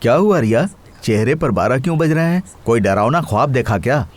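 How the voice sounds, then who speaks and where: Man playing a sceptical husband, medium-pitched. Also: lively intonation, a little fast, close to an everyday voice. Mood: teasing. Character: sceptical, dismissive husband, rational and teasing. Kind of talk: audio horror story acting.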